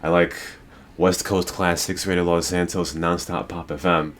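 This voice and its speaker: Deep-voiced man ranting profanely. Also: level tone, normal speed, a little hiss or hum. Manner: rambling, profane, emphatic. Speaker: host. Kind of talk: casual podcast rant.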